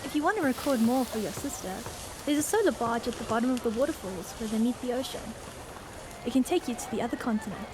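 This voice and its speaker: High-voiced, breezy fisherwoman guide played by a woman. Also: lively intonation, quick pace, noisy background, close to an everyday voice. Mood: reassuring. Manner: breezy, reassuring, friendly. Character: friendly, easygoing fisherwoman and generous local guide. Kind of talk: radio drama acting.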